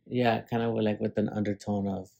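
A man's voice: lowish voice, flat delivery, normal speed, creaky, warm tone, muffled recording. A man co-hosting a podcast, adding wry, supportive remarks in casual chat. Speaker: podcast co-host.

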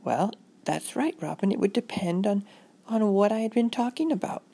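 Storybook mother, acted by a woman: gentle, patient, coaxing, slightly high lilt with some creak. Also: expressive, moderate pace. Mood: patient, explaining. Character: patient, gentle mother explaining things to her child.

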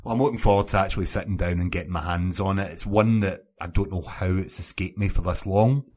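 A man's voice: low-pitched, fast, darkish tone. A man co-hosting a podcast, chatting casually about video games. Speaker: podcast co-host. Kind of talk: casual gaming podcast chat.

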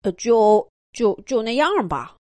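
A woman's voice: a little high, sing-song, slow pace, a little mellow, no room sound, clean studio sound. A woman voicing a timid, evasive young male grey rabbit in a children's story, mumbling and stammering with vague, hesitant answers. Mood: nervous, evasive.

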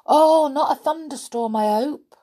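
A woman voicing an eccentric, friendly, hard-of-hearing old man in a children's storybook, loud and eager to oblige. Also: high-pitched, very animated, a little slow, pitched above the performer's own voice. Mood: anxious.

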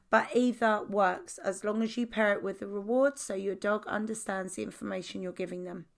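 A woman with a croaky voice, hosting a podcast and calmly explaining dog-training methods in a scripted way. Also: mid-range voice.

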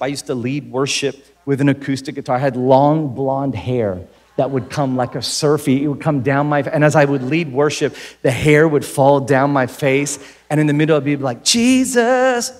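A middle-aged man with an American accent, raised in the Philippines, preaching a sermon with jokes and sudden loud bursts. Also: mid-range voice, normal speed. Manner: animated, jokey, bursts into shouts. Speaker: pastor. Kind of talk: sermon with comic storytelling.

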